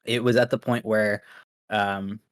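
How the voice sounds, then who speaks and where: A man's voice: fairly low pitch, little pitch movement, measured pace, clean tone. A young man from Pakistan, Urdu his first language, talking loosely as a podcast guest with lots of fillers. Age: young adult. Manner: laid-back, loose, filler-heavy with many likes and ums. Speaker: guest streamer. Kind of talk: casual podcast interview.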